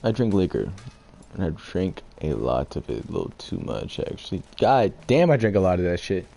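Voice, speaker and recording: A man's voice: low-pitched, wildly swooping pitch, normal speed, vocal fry, mellow, slight background noise. A young man giving rambling, profane, drunk gameplay commentary, with a congested voice. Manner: rambling, profane, loose and slurred. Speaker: gaming streamer.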